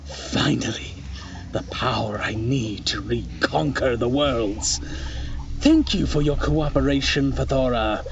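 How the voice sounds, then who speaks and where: An actor playing a grandiose, menacing villain bent on conquest in a fantasy audio drama. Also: male voice, mid-range voice, slow, distant mic, muffled recording, noisy background. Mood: gloating menace. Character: gloating male villain hungry to conquer worlds and exterminate mortals.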